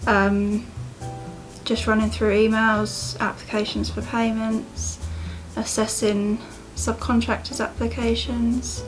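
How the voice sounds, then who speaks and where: A woman's voice: on the high side, flat delivery, slow, faint music behind, poor audio. A woman in her twenties with an English accent, an apprentice answering interview questions casually, laughing now and then. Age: 20s. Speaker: apprentice assistant quantity surveyor.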